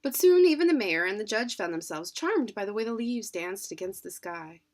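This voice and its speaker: Storybook narrator: adult female, medium pitch, expressive read-aloud delivery for children. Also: moderate pace. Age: adult, parent. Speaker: children's book reader.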